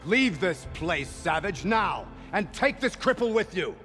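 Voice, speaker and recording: A pompous, sneering clan chief in a video game. His high voice swings widely as he draws out his scorn slowly. It rings in a long reverb. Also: big pitch swings, a bit bright, faint music behind, exaggerated voice. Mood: mocking, contemptuous. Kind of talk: theatrical game dialogue.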